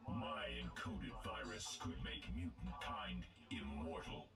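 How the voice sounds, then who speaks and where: A man's voice: a bass voice, slow pace, gravelly, a loud crowd, full character voice. A man playing a cold, arrogant robot supervillain in a video game, speaking with formal disdain through a synthetic filter. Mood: grandiose menace. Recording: loud music behind the game voice.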